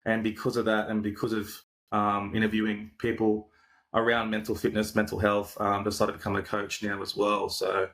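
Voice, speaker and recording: Laid-back Australian man, lowish voice. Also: monotone, normal speed, a bit bright. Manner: laid-back, rambling with frequent fillers and restarts. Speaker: podcaster and coach. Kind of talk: casual interview chat.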